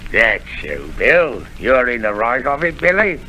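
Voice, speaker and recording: Wheedling old pirate, slow, sing-song, old radio play. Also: male voice, medium pitch, big pitch swings, pushed and bright, exaggerated voice. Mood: sly. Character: menacing sly old pirate, wheedling man. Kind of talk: 1930s radio drama acting. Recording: old radio broadcast with music.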